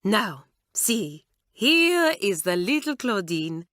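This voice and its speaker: A woman voicing an excitable middle-aged schoolmistress, effusive and shrill. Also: slow pace, no echo, studio quality. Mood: delighted.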